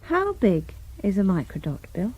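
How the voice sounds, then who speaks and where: An actress with a British accent playing a determined, curious woman with a brisk, coaxing manner in a radio drama. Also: mid-range voice, huge pitch swings, slightly rough, highs cut off. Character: determined, curious, bossy amateur sleuth woman named Jen.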